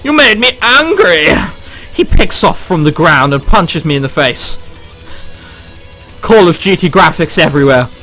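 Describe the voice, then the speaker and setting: A man's voice: on the high side, moderate pace, long pauses, fairly bright tone, soft background music, rough recording. A man who is a let's player reading a visual novel aloud dramatically, with exclamatory, sweary joking commentary.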